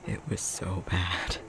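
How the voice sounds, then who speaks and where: A man playing a tender, self-conscious young fox-shifter boyfriend in an intimate ASMR roleplay, speaking in a soft, breathy, halting murmur. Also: low voice, noisy background, exaggerated voice. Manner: unhurried, halting, self-deprecating chuckly storytelling.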